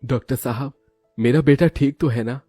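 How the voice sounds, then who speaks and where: A man's voice: medium pitch, moderate pace, mellow, dry recording, soft background music, lightly acted. A man voicing an upright, worried husband and father who scolds earnestly in a cartoon story. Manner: earnest, reproachful.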